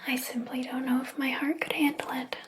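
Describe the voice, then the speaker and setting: A woman voicing a jealous, possessive hairdresser in an ASMR roleplay, whispering breathily in a slow, theatrical, wounded and haughty manner. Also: sits high, level tone, noisy background, natural voice. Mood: possessive, disdainful. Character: jealous, possessive hairdresser, obsessed with favorite client.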